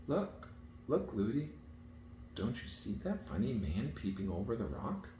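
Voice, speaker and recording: A man voicing a curious, chattering little princess girl in a storybook reading, pitching his voice up high. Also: sing-song, normal speed. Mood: eager. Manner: high, bright questioning chatter. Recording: echoey room, faint hiss.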